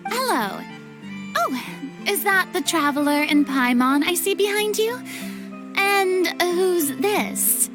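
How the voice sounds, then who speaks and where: A woman playing a theatrical, easily flustered young lady in a video game, with an expressive manner. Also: very high voice, slow, heavily acted. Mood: surprised, awkward. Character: dramatic young woman, former idol, flustered, theatrical, sweet-toothed. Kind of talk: scripted video game dialogue. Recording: music behind the voice.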